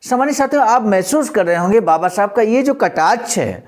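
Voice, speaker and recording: A man's voice: high-pitched, animated, fairly quick. A man presenting a historical political speech, reading it aloud in a formal, explanatory and oratorical manner.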